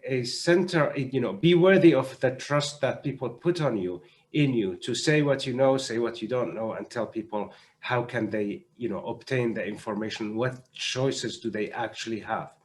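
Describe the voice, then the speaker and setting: A male doctor speaking as a panelist in an online discussion, explaining in a measured, halting way and often repeating words as he goes. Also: medium pitch. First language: not French (French is third language). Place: online video conference.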